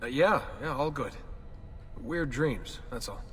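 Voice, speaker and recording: A man's voice: medium pitch, lively intonation, slow pace, a bit bright, recorded from a distance, slight background noise. An actor playing a sardonic, easygoing young American man in a video game, talking in a casual, naturalistic way. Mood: subdued, concerned. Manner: casual, wry, colloquial. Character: young modern-day man reliving ancestral memories, sardonic, easygoing.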